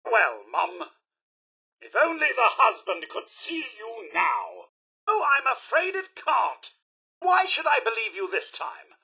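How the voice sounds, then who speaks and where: Video game robot butler, British: piercingly bright and slightly raspy, unhurried, fussy, over-polite, dramatic. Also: male voice, extremely high pitch, huge pitch swings, full character voice. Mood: wounded, pleading. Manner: formal, over-polite, crisply enunciated, dramatic. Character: prim, fussy robot butler with wounded loyalty. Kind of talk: theatrical video game voice acting.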